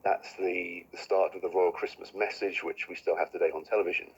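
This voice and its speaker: A man's voice: sits low, level tone, fairly quick, breathy edge, highs cut off. A man giving an informal explanatory talk as an expert guest.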